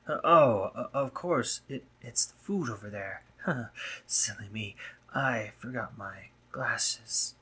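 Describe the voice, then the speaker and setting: A man playing a doting, teasing gentlemanly spider-monster painter in a romantic roleplay audio drama, with genteel phrasing, hesitant pauses and drops into whispers. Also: medium pitch, husky, full character voice. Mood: awkward, fibbing.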